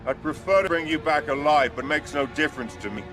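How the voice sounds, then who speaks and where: A man's voice: a little high, a little fast, music in the background, lightly acted. A man playing a steely, commanding secret agent with a terse manner in a cinematic video game. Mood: stern.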